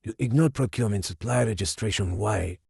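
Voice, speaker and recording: Cold, controlled crime boss for audio drama, male actor: very raspy, dark-toned, deliberate delivery. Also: on the low side, no room sound, studio quality, heavily acted. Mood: calm, calculating. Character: calculating, coldly confident male mafia boss.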